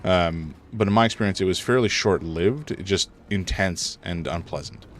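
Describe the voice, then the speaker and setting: A man live-streaming a horror game, rambling through his commentary, chatting with viewers, and reacting loudly to scares, a little congested. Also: low-pitched, moderate pace, slight background noise. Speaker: gaming streamer.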